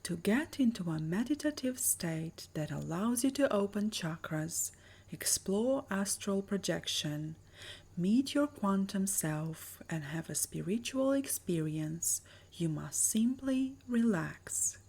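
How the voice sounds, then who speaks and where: Slow, husky woman guiding meditation. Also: medium pitch, expressive, a little mellow. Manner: soothing, measured, breathy delivery with lingering pauses. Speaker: meditation guide. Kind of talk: guided meditation narration.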